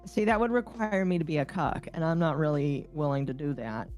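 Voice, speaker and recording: A woman's voice: deep voice, lively intonation, a little fast, darkish tone. A woman chatting casually as a podcast co-host, with a teasing, mock-serious manner. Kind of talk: casual chat. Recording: background music under voices. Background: background music.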